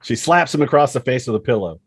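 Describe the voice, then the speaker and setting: A man's voice: mid-range voice, lively intonation, quick pace, slightly rough, no room sound. A man reading stage directions as the narrator of a screenplay table read.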